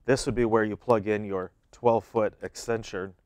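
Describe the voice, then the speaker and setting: A man's voice: lowish voice, steady tone, moderate pace, darkish tone, clear, resonant tone, dry recording. A man in his thirties giving plain, step-by-step how-to instructions as an equipment demonstrator.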